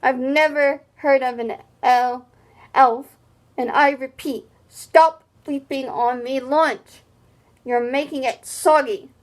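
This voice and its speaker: Woman playing a loud, taunting elephant bully. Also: high voice, fairly even intonation, takes it slowly. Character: big, brash male elephant bully, smirking and insulting. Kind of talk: children's storybook read-aloud.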